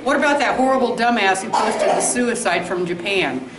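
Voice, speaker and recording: A woman's voice: medium pitch, expressive, normal speed, echoey room, noisy background, lightly acted. A woman playing an indignant video creator in a comedy sketch, confronting an official with wordy, challenging questions.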